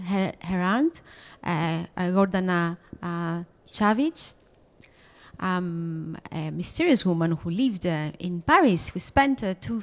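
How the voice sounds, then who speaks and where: Halting woman host at an exhibition. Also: mid-range voice. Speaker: host introducing an artist. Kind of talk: spoken introduction at an exhibition. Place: exhibition room.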